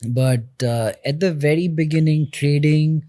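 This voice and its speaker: A man in his thirties with an Indian accent, a podcast guest explaining things in a measured way with frequent pauses. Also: fairly high pitch, warm tone, no echo, clean studio sound. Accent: Indian English. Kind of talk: podcast interview.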